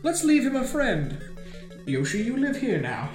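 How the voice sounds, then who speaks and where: A woman's voice: fairly high pitch, sing-song, a little slow, a little mellow. A woman playing a bossy, plucky hero in a comic radio play, quippy and exclamatory. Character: Mario, bossy, plucky male video-game hero. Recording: echoey room, music behind.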